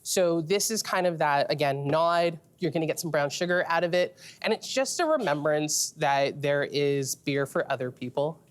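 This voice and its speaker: Woman, Trinidadian accent, lower voice, relaxed explainer, guest in a tasting chat. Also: moderate pace, hardly any pauses. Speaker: beer expert guest.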